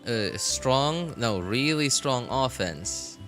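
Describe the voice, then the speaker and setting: A man chatting casually as he streams a game, rambling and halting as he thinks aloud. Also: medium pitch, lively intonation, a bit bright, soft background music. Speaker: live streamer. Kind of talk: casual gaming stream chat.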